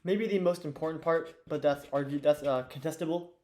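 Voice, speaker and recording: A teenage boy with a hoarse, fading voice, teaching an investing lesson at a whiteboard in a loose, chatty way with lots of fillers and restarts. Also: fairly high pitch, fairly quick, darkish tone. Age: teens. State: hoarse, losing his voice. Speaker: student teacher of an investing course.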